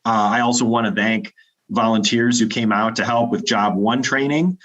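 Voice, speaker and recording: A man's voice: mid-range voice, steady tone, moderate pace, a bit bright. A man hosting a virtual club meeting, upbeat and welcoming, with frequent fillers. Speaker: meeting host. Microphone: video call. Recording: dull lo-fi video call audio.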